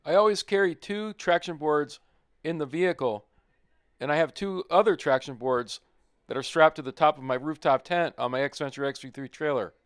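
A man's voice: fairly high pitch, normal speed, smooth, no echo, studio quality. A middle-aged man narrating his off-road trip in a plain-spoken, easygoing voice-over. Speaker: overlanding vlogger.

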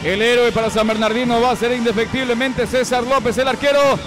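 A man with a Paraguayan Spanish accent calls a futsal match live in a very high voice. His play-by-play comes quick and excited and rises when a goal is near. Music plays clearly underneath. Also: crowd noise. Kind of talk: live sports commentary.

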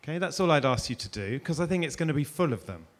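A man's voice: fairly high pitch, lively intonation, fast. A middle-aged man hosts an on-stage interview at a conference. He talks into a handheld mic with light, laughing asides. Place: conference stage before an audience.

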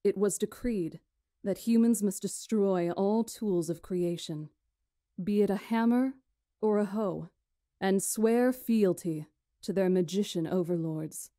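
A woman playing a stately magician in a visual novel, recounting history in a measured, formal, solemn way. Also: medium pitch, warm tone, no echo, clean studio sound, close to an everyday voice. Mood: grave, formal. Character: stately female magician elder recounting ancient history to lords. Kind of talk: visual novel character acting, storytelling.

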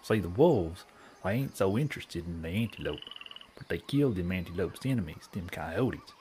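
A low, drawling frontiersman. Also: animated, normal speed, mellow, a little hiss or hum. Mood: hushed, explaining. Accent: rural American Western. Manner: drawling, folksy, ungrammatical frontier speech. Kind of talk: western audio drama comedy acting.

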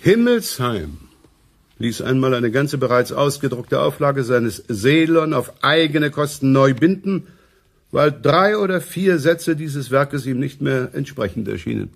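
A man acting a pompous, self-important essayist and professor in a radio play, with deliberate, oratorical phrasing. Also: mid-range voice, animated, gravelly. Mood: grave, self-important.

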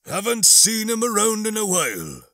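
Audiobook role: man acting alien barfly smuggler, tipsy and slangy, bright-edged voice. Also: sits high, wildly swooping pitch, moderate pace, no room sound, studio quality, soft background music, pitched above the performer's own voice, exaggerated voice. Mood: gossipy. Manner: casual, hesitant, slangy. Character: one-armed male alien smuggler barfly, loose-lipped and tipsy. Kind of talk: audiobook character dialogue.

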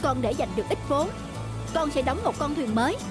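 A woman's voice: high voice, fairly quick, music in the background, close to an everyday voice. A woman voicing an earnest, respectful young man, a devoted fisherman's son in a cartoon folk tale. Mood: earnest, hopeful.

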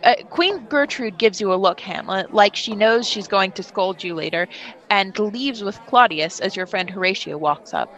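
A woman's voice: medium pitch, animated, moderate pace, a bit bright, rough recording. A woman narrating a tabletop role-play podcast as game master, theatrical and slangy in her delivery.

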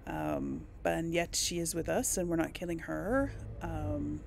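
A woman giving casual let's play commentary and reading game text aloud, with a creaky voice. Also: deep voice, measured pace, slight background noise. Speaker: gaming host. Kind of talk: reads game text and dialogue choices aloud.

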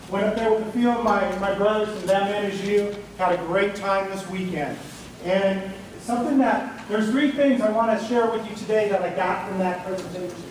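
A man who is a teacher, speaking loudly without a microphone as he leads a group session. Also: slight background noise. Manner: loud booming teacher voice. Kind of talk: talk leading a group discussion. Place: large meeting room indoors. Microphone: no microphone, far camera. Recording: echoey room from far off.

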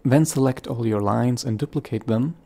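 Male tutorial narrator, gravelly edge, reading step-by-step instructions from a script. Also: mid-range voice, normal speed, mellow.

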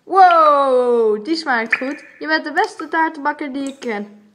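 A woman's voice: high-pitched, takes it slowly, fairly bright tone, a little hiss or hum. A woman playing a friendly, chatty young companion in an amateur radio play.

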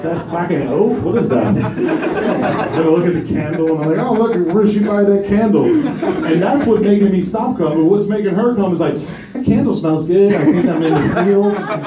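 A man's voice: sits high, normal speed, over a noisy crowd. A man in his thirties doing a crude, profane open-mic stand-up set, teasing the front row from a small bar stage. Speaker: stand-up comedian. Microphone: handheld stage mic, filmed from far above. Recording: distant roomy lo-fi club recording. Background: audience laughter.

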